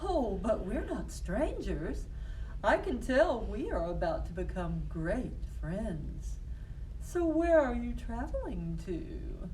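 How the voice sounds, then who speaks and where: A woman's voice: on the high side, big pitch swings, slow, mellow. A woman voicing a sly, hungry big bad wolf in a children's storybook, coaxing with exaggerated false sweetness. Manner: exaggerated coaxing, theatrical drawl. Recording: noisy room, distant microphone.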